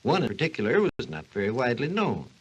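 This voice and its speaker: A man's voice: mid-range voice, wildly swooping pitch, fast talker, rough recording. A man playing a learned, patient scientist who explains history in a scripted 1940s educational radio program. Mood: storytelling. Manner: didactic, storytelling delivery. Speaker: expert guest on a science program. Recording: husky-sounding 1940s radio recording.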